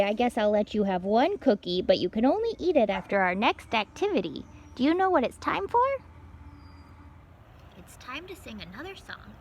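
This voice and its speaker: A woman hosting a story time for young children, talking in an animated, sing-song, gently coaxing way. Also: medium pitch, slight background noise.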